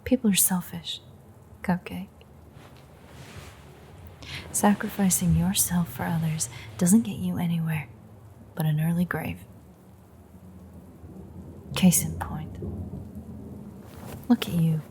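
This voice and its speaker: Woman acting a creaky, sardonic vampire woman. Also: lowish voice, fairly even intonation, slow pace, long pauses, warm tone, a little hiss or hum. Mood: angry, taunting. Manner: sardonic, profane, taunting. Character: bitter, threatening female vampire, reluctant old enemy. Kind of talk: intimate audio roleplay monologue.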